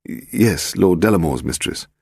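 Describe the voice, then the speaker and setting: A man's voice: low-pitched, moderate pace, creaky, a little mellow, husky, no echo, studio quality, heavily acted. A man voicing an anxious, fussy male publisher who talks in a clipped, evasive manner.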